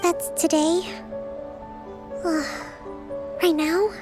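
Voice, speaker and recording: Young lady leader in a game, high soft-mannered voice, slow n measured, formal, music behind. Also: level tone, heavily acted. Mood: weary, resigned. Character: young female island leader, gentle, composed, dutiful, weary. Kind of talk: video game voice acting.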